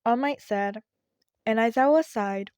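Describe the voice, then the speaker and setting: A woman's voice: a little high, a little slow, darkish tone, smooth, no room sound, clean studio sound. A woman reading fan fiction aloud as scripted narration.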